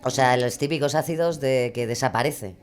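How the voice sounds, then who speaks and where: A woman's voice: extremely low pitch, normal speed, soft background music. A woman with a Spain Spanish accent chatting casually, in a colloquial, emphatic, jokey way. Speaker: podcast guest, true crime narrator. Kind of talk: casual chat.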